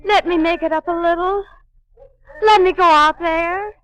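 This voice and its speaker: An actress playing a small, coquettish, scheming trapeze artist, coy and manipulative, in a 1940s radio noir drama. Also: female voice, very high voice, fairly even intonation, measured pace, fairly bright tone, no echo. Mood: urgent pleading. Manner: coy, taunting, pleading. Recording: muffled dry vintage radio recording.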